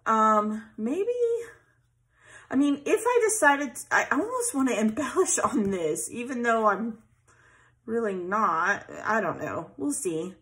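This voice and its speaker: A woman's voice: on the high side, animated, slow, a bit bright. A woman hosting a casual scrapbooking video, chatting and thinking aloud as she arranges pieces at her craft table. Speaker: scrapbooking channel host. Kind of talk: casual craft tutorial chat. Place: craft table at home, overhead camera on hands.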